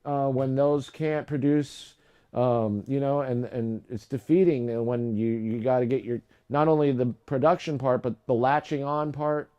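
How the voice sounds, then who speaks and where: A young adult man hosting a livestream, chatting casually and rambling with lots of fillers and restarts, his voice low today. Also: steady tone, measured pace, warm tone, clean tone, lo-fi sound. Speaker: live stream host.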